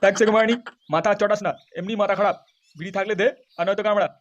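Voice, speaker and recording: A man acts out a hot-tempered middle-aged village father for a cartoon comedy. His very high voice races along in grumbles, curses and threats, over clear recording noise. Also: fairly even intonation, racing, lo-fi sound, close to an everyday voice. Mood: gruff, impatient.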